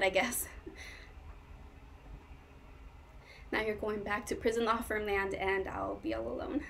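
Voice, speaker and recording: A woman's voice: mid-range voice, a little hiss or hum, close to an everyday voice. A woman performing a stage monologue as a troubled young woman, intense, wounded and pleading with her lover. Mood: anxious, pleading.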